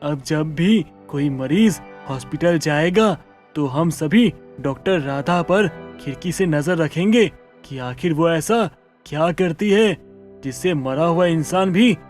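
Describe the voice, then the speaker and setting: A man playing a worried, resolute village headman in an animated moral story, speaking earnestly and emphatically. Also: a little high, slow pace, soft background music. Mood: firm. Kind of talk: animated Hindi story dialogue.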